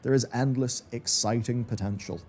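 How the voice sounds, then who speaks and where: A man's voice: medium pitch, fairly even intonation, normal speed, darkish tone. A man delivering scripted commentary in the style of a video essay.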